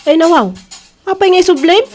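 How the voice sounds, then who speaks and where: Woman acting very high-voiced Ilocano food vendor. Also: a little fast, darkish tone, faint music behind. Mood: defensive. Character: brisk, defensive woman running a small food stall. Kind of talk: radio drama acting.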